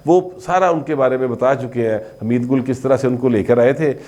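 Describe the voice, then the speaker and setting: Male political commentator, mid-pitched, Pakistani Punjabi accent, chatty and informal delivery. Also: a little fast, dark tone. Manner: conversational, slipping between Urdu and Punjabi.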